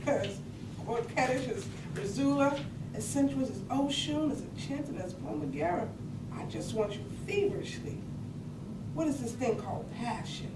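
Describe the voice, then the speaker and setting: A woman's voice: a little high, expressive, moderate pace, natural voice. A woman acting a sensual, optimistic romantic in a staged reading of a poetic play. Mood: sensual, longing. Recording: echoey, distant, very noisy stage recording.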